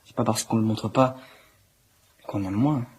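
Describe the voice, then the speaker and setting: A young actor playing a plain-spoken, defensive teenager who dotes on a dog, in a naturalistic radio drama. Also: male voice, on the low side, unhurried, husky.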